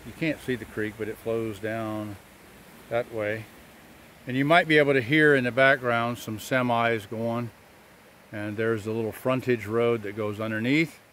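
A man talking casually to his phone camera while hiking outdoors on a trail, relaxed and chatty. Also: medium pitch. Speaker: hiker vlogger. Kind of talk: casual hiking vlog narration. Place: outdoors on a wooded trail and a road bridge. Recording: faint traffic noise outdoors.

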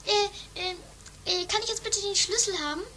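A woman doing a very high-pitched bossy schemer. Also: normal speed, bright, forceful tone, a little hiss or hum. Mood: flustered. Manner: stammering, hectic. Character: bossy, impatient, flustered schemer woman named Doris. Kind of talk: improvised amateur comedy radio play.

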